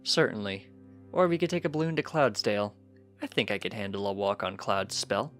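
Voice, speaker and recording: A calm, loving father pony, voiced by a man, soft-spoken and fairly low. He sounds gentle and resigned, a storybook parent with music behind him. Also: brisk, close to an everyday voice.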